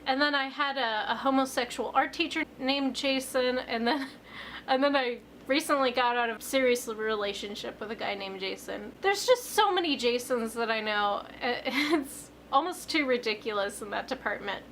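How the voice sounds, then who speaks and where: Slightly hoarse young woman vlogging casually. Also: moderate pace, fairly bright tone, slight background noise. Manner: rambling, filler-heavy, jumps up in pitch on emphasis. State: slightly hoarse after illness. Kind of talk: casual confessional chat to camera.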